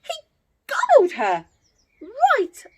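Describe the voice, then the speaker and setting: A woman telling a story to young children as an entertainer, theatrical and expressive. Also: extremely high pitch, very slow, heavy background noise.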